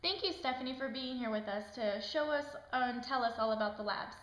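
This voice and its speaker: A woman's voice: on the high side, a little fast, fairly bright tone, natural voice. A woman playing a polite, curious woman who presents and asks questions in a scripted health education skit. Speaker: presenter and patient in a health education puppet show. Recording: roomy echo with faint background noise.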